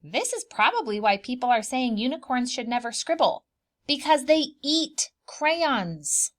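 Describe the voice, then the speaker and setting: This is a woman with a high voice reading a children's storybook. Her delivery is very animated, swinging up and down in pitch. She plays up the drama and turns to the young listeners with questions, as a preschool teacher does. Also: measured pace. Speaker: preschool teacher reading a picture book aloud. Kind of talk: animated children's storybook read-aloud with asides.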